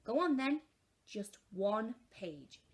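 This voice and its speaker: A woman's voice: fairly high pitch, big pitch swings, slow pace. A woman voicing a weary, firm elephant mother in a storybook, exasperated and emphatic. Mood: resigned.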